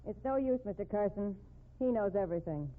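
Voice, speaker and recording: A brazen, scheming female embezzler, played by an actress in a 1950s radio mystery. She is slangy and defiant, with a very animated delivery. The old recording sounds thin and tinny. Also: mid-range voice, fairly quick, close to an everyday voice. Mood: accusing.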